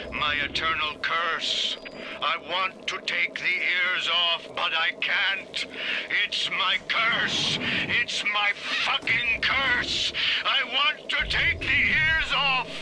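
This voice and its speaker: A tormented performer in a bunny suit who pleads with growing despair. His voice sits fairly high and his words come very slowly. Steady noise hums behind him in this game scene. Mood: anguished. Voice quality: anguished, pleading. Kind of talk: video game dialogue. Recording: clear background noise.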